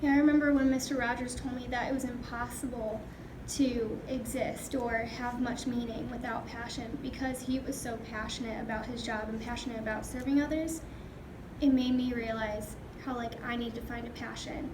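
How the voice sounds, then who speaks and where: A woman's voice: a little high, steady tone, moderate pace. A young woman, a university student, casually recalling personal experiences. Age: young adult. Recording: echoey room with clear background noise.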